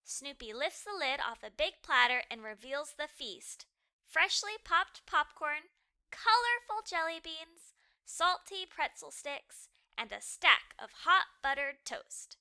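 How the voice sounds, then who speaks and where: Bright, high-voiced woman reading a storybook. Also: takes it slowly. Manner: expressive, emphatic reading for young listeners. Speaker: children's read-aloud storyteller, teacher. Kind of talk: scripted storybook narration.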